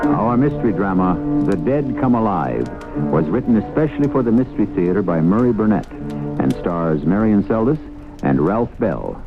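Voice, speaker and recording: A man hosting a radio drama with measured, solemn, formal scripted narration. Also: fairly low pitch, big pitch swings. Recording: narrow vintage radio broadcast over music.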